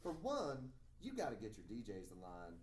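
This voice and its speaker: Guy doing a affectionate candid mentor type, coaxing and expressive, recorded a bit far off in a roomy space. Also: on the low side, moderate pace, pitched below the performer's own voice. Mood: concerned, affectionate. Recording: echoey room, distant mic, faint noise.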